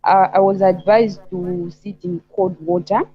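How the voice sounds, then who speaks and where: A woman with a Zambian accent calling in to a talk show, speaking conversationally with halting phrasing. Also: lowish voice, little pitch movement. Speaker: caller on a live talk show. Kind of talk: conversational opinion and personal account. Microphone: remote call connection. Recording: slightly dull lo-fi call audio.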